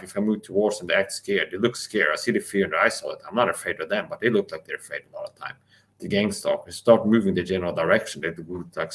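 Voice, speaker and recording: A man with a very low, deep voice holds forth in an angry, defiant livestream rant. He swears freely, repeats himself and challenges his listeners directly. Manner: angry, defiant, profane, repetitive. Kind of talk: ranting live monologue.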